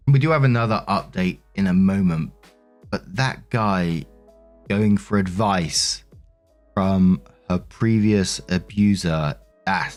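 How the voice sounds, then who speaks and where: A man with a fairly low voice narrates written stories for listeners. His delivery is conversational and informal, like a host who comments as he reads. Faint music plays behind him. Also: a little mellow. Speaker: narrator and host reading online relationship stories. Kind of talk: scripted read-aloud narration with casual commentary.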